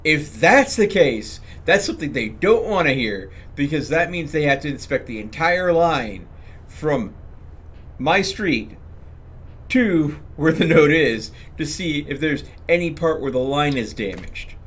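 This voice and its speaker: A man's voice: a little high, expressive, measured pace, fairly bright tone. A man talking casually as he streams a game, commenting on his play and explaining things step by step in a rambling way. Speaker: live streamer. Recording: clear background noise.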